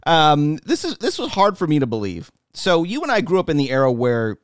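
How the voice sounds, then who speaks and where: A man's voice: on the high side, huge pitch swings, brisk, no echo, clean studio sound. A middle-aged man co-hosting a podcast, chatting casually and emphatically about sports and culture. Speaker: podcast co-host.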